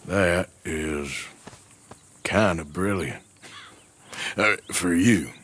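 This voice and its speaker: An actor playing a gruff, menacing American outlaw in a video game, blunt and folksy with a dry wit. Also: male voice, sits low, drawn-out words, a bit bright, exaggerated voice. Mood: wry, laid-back. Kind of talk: video game character acting.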